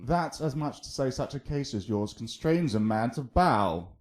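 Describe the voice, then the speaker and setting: A man playing a young, mocking, bawdy gentleman wit in a theatrical, teasing manner, in a Shakespearean radio drama. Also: medium pitch, animated, lightly acted. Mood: playful teasing. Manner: theatrical, teasing, drawn-out flourishes.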